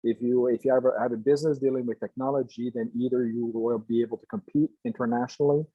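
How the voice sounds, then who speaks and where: An older man from Quebec whose first language is French, giving a guest lecture to a class in a halting way, with many restarts and fillers. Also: mid-range voice, fairly even intonation, husky, no room sound, phone-quality sound. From Quebec, Canada.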